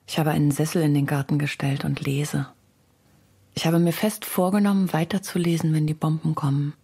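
A woman reading literary prose in a husky, breathy voice, restrained and sober. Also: low-pitched, steady tone, fairly quick, mellow.

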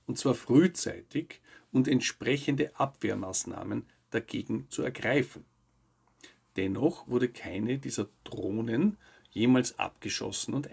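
A man in his fifties presents scripted news commentary to camera. His voice is creaky and husky, at a medium pitch. He speaks in measured, formal sentences, laying out his points in order. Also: a little mellow. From Austria. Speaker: host of a weekly UFO news show.

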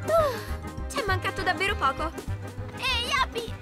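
An actor voicing a small, plucky young animal in an animated cartoon, with a squeaky, excitable, defiant manner. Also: moderate pace. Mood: panicked. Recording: loud music behind. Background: loud music.

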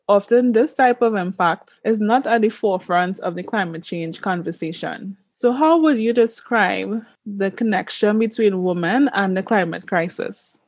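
A woman's voice: mid-range voice, no echo. Woman podcast host, dry close sound. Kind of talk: scripted introduction and interview questions.

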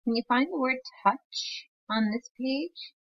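Woman teacher, read-aloud for children, patient sounding out, lively pitch, dull lo-fi sound. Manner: slow, patient, sounding out words. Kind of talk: reading aloud to young children.

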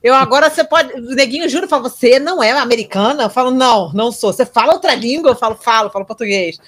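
Bright, lively Brazilian woman. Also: fairly high pitch, fast talker. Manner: lively, emphatic storyteller. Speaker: guest co-host. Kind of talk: casual video-call interview. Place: room at home, indoors. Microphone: video call.

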